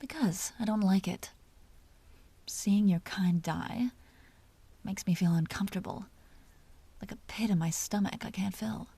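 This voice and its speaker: A woman playing a commanding, teasing vampire duchess with a tender side, speaking softly and often in whispers in an intimate audio roleplay. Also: mid-range voice, unhurried, pauses often. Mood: serious, persuasive. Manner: soft, breathy, often dropping into whispers; teasing, possessive, imperious.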